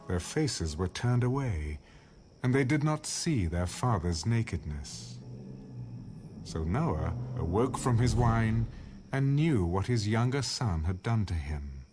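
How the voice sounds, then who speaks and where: A man reading scripture as a scripted audio Bible narration, in a measured, expressive storytelling delivery. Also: on the low side, slight background noise.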